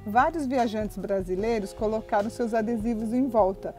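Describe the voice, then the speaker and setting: Brazilian woman narrating her overland road trip, bit high voice, cheerful, soft music under her. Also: normal speed. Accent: Brazilian Portuguese. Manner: cheerful, laughs often while filming. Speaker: travel vlogger and narrator. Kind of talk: travel narration and casual vlog chat.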